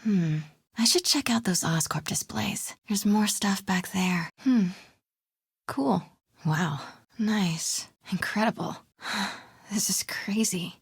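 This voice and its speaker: An actress playing a brave, quick-witted young woman reporter in a video game, with an American accent, a breathy, husky voice and a wry, conversational, often hushed delivery. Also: mid-range voice, no echo, studio quality, heavily acted. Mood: curious, impressed. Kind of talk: naturalistic video game voice acting.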